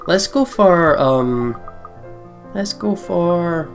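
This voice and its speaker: A man's voice: a little high, animated, very slow, faint music behind. A man hosting a let's play, reading the story aloud expressively and commenting casually.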